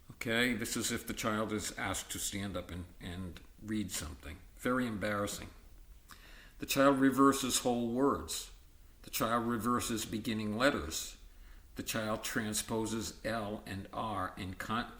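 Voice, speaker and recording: A man with a fairly low voice reads educational material aloud at a very slow pace. He moves haltingly from item to item and adds informal comments of his own. The sound is roomy and echoey, like an amateur recording made at home. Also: fairly bright tone. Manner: halting list-like delivery with ad-libbed comments. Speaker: reader of an educational book. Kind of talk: reading a textbook aloud with informal asides.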